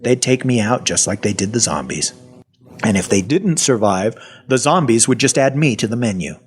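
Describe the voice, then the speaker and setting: A man's voice: medium pitch, animated, moderate pace, slightly rough. An actor playing a wry, self-deprecating survivor of a zombie apocalypse, telling his story in a folksy, sardonic first person. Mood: wry, weary. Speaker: actor narrating an audio fiction story. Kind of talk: first-person storytelling, dramatic audio fiction.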